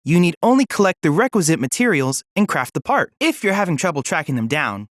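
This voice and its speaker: Bookish android boy, high-pitched, formal, precise, earnest, animated game dialogue. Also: brisk, dry recording, clean studio sound. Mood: composed, helpful. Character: polite, eager, bookish android boy research assistant. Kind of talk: scripted video game dialogue.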